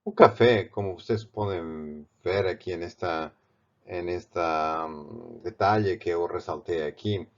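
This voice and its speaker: A man from Barcelona speaking with a Spanish accent, teaching a painting technique in a halting way, with many restarts. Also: low-pitched, muffled recording. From Spain, Barcelona. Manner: halting, with frequent restarts and fillers. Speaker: art teacher. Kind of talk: step-by-step art tutorial.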